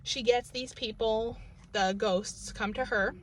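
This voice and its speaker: A woman's voice: fairly high pitch, fairly even intonation, takes it slowly, a little hiss or hum. A woman from New England with a local accent, casually reviewing a book from inside her car, rambling with lots of fillers. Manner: rambling, filler-heavy, many "like" and "um". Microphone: camera in the car.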